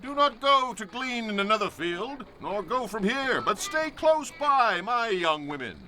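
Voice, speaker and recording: An actor playing a wealthy, gracious landowner in a radio drama, gentle yet commanding. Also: male voice, high-pitched, big pitch swings, a little slow, heavily acted, low-quality recording. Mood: kind.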